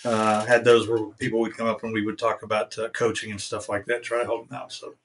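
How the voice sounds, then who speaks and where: A man in his fifties speaks as a guest in a casual interview. He is soft-spoken and hesitant, and he fills his speech with ums and uhs. His sentences often trail off quietly. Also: on the low side, level tone, fast, a little hiss or hum. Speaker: guest, author and veteran.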